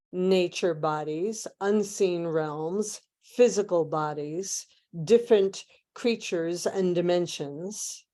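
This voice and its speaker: A woman leads a guided meditation in a lowish, very mellow voice. She speaks very slowly, in short measured phrases separated by long pauses. The delivery is hushed and spiritual, inviting listeners inward.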